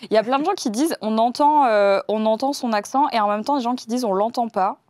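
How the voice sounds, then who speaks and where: A tired woman hosting a casual chat, lively and joking, who later reads a letter aloud. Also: a little high, dry recording, studio quality. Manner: lively, joking, quick to laugh. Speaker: host.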